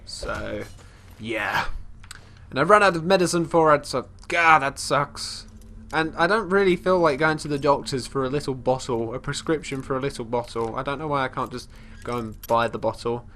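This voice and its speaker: A man with a congested, stuffy voice talks through a game in a loose, chatty way. His pitch sits in an ordinary middle range, and he wanders off on tangents and restarts his thoughts. A faint background hiss runs under the voice. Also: moderate pace, bright, forceful tone. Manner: rambling, chatty, often restarting sentences; talks about his character in third person. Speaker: let's play host. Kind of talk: casual gameplay commentary.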